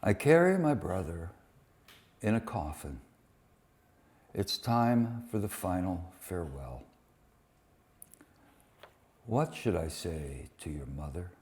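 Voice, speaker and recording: A man giving a dramatic live poetry reading, delivering short phrases deliberately with weighted pauses. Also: low voice, big pitch swings, a little mellow. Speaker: poet reading translated war poems at a poetry event.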